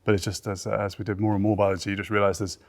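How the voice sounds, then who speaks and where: A man's voice: lowish voice, flat delivery, rapid-fire. A man with a British accent, a research biologist chatting casually, often restarting his phrases as he thinks. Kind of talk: casual conversation.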